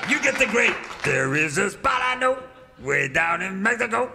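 A man playing a folksy, excitable old cowboy pitchman in a parody radio commercial. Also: normal speed, very noisy, full character voice. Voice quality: creaky.

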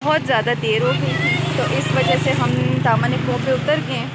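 A woman's voice: sits high, moderate pace. A woman in her thirties vlogging casually from the roadside and inside a car, filming herself on a phone held at arm's length. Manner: chatty, laughing often. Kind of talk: casual travel vlog narration. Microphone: phone camera at arm's length. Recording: loud traffic noise behind.

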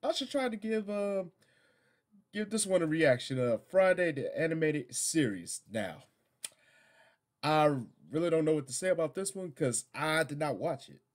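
A middle-aged American man hosting a reaction video in casual, halting, exasperated commentary. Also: fairly high pitch, animated.

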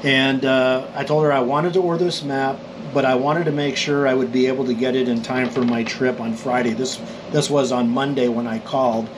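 A middle-aged man from New Mexico calmly explains road maps for motorcycle trip planning, rambling with fillers and restarts. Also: medium pitch, normal speed, few pauses, noisy background. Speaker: motorcycle vlogger.

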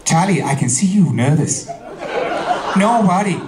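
A man on stage putting on a fussy little male doctor who speaks quick, broken English in a high clipped voice. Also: animated, noisy background. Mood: brisk. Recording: roomy comedy club stage.

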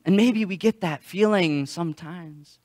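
A young man preaching a sermon as a pastor, telling stories in a halting way and often repeating words. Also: sits high, darkish tone. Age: young adult. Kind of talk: sermon with storytelling. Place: church sanctuary, indoors. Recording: long hall reverb.

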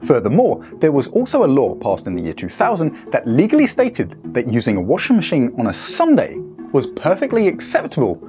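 A man's voice: mid-range voice, wildly swooping pitch, brisk. A young British man hosting a scripted explainer, talking in a playful, emphatic way with wry asides, on a lapel mic in a studio. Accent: British English. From United Kingdom. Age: young adult. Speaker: host. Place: studio in front of a screen. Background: background music.

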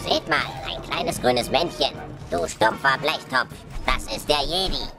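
A man's voice: medium pitch, measured pace, gravelly. A man playing a dim-witted, eager battle droid with a robotic filter and naive, clipped military phrasing in an audio drama. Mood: alert, eager. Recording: loud music behind.

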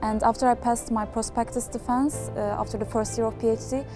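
A woman's voice: a little high, fairly even intonation, brisk. A young woman from Turkey, a PhD student, speaking earnestly to camera in an office. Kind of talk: interview to camera. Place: office room. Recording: music clearly behind.

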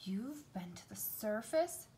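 A woman's voice: mid-range voice, expressive, slow, dark tone, slight background noise. A woman voicing a shy young mermaid in a storybook, timid and easily amazed. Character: shy, wide-eyed young mermaid girl.

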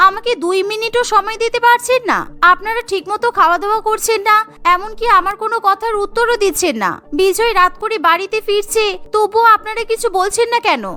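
Lady doing a fussy busy cartoon housewife, super high bright voice, chatty and insistent. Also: brisk. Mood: upset, complaining. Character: busy, chore-obsessed young Bengali housewife. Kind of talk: cartoon dialogue.

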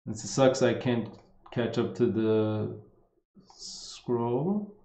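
A man's voice: mid-range voice, drawn-out words, warm tone. A man in his thirties with a slight Armenian accent, a fitness coach rambling casually through viewer questions on a live stream, full of fillers and restarts. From Los Angeles, United States. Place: indoor room. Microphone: wired earphone mic. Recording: dull echoey live-stream audio.

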